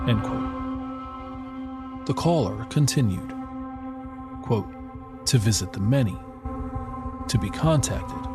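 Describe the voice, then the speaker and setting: A man, a podcast host, telling a story in slow, deliberate scripted narration. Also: medium pitch. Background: music.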